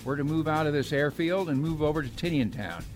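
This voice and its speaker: A man's voice: on the high side, lively intonation, fairly quick, music in the background, close to an everyday voice. A man playing a commanding American squad leader giving battle orders in a World War Two radio drama. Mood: urgent, commanding.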